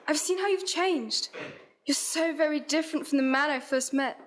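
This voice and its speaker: A woman's voice: high voice, moderate pace, a little hiss or hum, natural voice. A woman playing a heartbroken but resolute young fiancée in a radio play, speaking earnest, formal period lines. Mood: reproachful. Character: Belle, heartbroken, resolute young fiancée releasing her betrothed.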